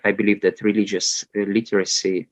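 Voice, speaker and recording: A man's voice: on the low side, level tone, brisk. A man in his thirties from Moldova with a Russian accent, speaking hesitantly with many fillers as an interview guest. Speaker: guest researcher. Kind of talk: casual interview talk. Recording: dull lo-fi sound.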